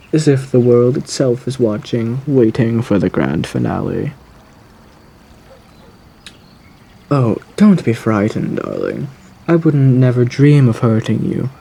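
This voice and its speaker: A man voicing a suave, sinister gentleman lurking in the woods, in a theatrical, genteel ASMR roleplay with a husky voice. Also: mid-range voice. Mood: darkly amused. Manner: theatrical, genteel, drawn-out phrasing with endearments. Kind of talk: ASMR audio roleplay monologue.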